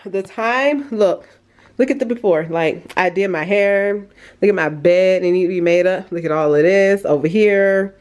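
A woman's voice: mid-range voice, unhurried, darkish tone. A young adult woman, chatting casually and informally for a vlog in a bedroom at home. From United States. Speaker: vlogger.

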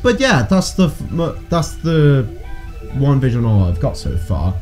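Young man, show host, expressive intonation, casual chat, background music. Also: mid-range voice, moderate pace. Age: young adult.

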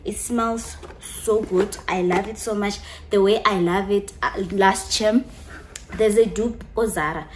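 Woman vlogger, young, unhurried and gushing, faint room hiss behind. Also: medium pitch. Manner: chatty, gushing, slips into local phrases. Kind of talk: casual chat to camera, showing perfumes.

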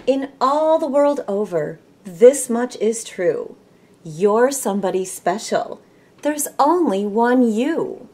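Lively Midwestern woman reading storybooks. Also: on the high side, slow pace. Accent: American Midwestern. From Michigan, United States. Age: middle-aged. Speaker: volunteer storybook reader. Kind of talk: children's read-aloud storytelling. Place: small room at home, indoors.

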